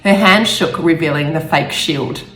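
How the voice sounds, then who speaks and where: A woman author speaking in a halting, emotional way, stumbling over words now and then. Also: sits low, fairly bright tone. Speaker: author reading own novel aloud. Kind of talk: amateur storybook reading with personal asides. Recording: roomy echo with faint music.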